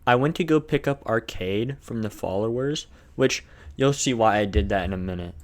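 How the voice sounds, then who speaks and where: A man's voice: fairly low pitch, lively intonation, moderate pace. A man with a lisp narrating a video game challenge run in a casual, crude, jokey way.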